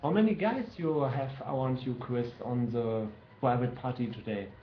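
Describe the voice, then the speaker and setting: A man co-hosting a stream, halting and full of fillers. His voice sounds roomy and echoey, and the audio is dull and lo-fi. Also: mid-range voice, faint music behind. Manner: halting, fillers, laughs at himself. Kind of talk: casual chat.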